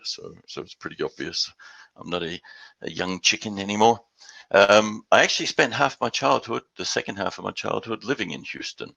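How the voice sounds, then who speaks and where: Gravelly older Australian man presenting. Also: lowish voice, animated, sharp tone, no room sound, rough recording. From Western Australia. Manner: halting, repeats words at starts of phrases. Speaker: guest speaker. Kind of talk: online webinar presentation.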